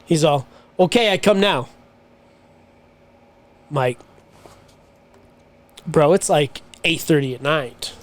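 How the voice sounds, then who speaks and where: A man's voice: on the high side, slow, long pauses. A man telling a long, rambling story on a podcast, swearing casually. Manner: swearing, rambling anecdote with quoted voices. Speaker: podcast co-host.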